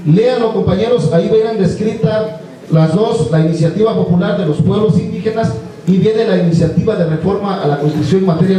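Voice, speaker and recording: High, muffled-toned Mexican man in echo. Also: mellow. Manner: exhortative, repeatedly addressing comrades. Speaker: forum organizer addressing attendees. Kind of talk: political assembly address. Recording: very echoey, distant microphone.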